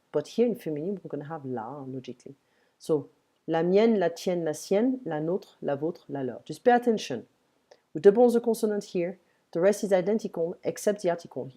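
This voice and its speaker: Slow, mellow tutor woman. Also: on the low side. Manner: patient, explains in English, models French words slowly. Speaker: French tutor. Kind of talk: one-on-one language lesson, explaining grammar.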